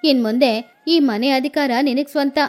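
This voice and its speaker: A kindly old mother-in-law from an animated storybook, as a woman puts her on. Her voice sits high, and her tone is soft and reassuring. Faint music plays under the scene. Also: moderate pace, lightly acted. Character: elderly mother-in-law, generous and content.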